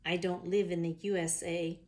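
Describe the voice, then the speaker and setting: A woman's voice: on the low side, flat delivery, moderate pace, a little mellow, natural voice. A woman voicing an exasperated, indignant storybook koala in an attempted Australian accent, blunt, grumbling and emphatic. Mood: fed up. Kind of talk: children's picture book read-aloud.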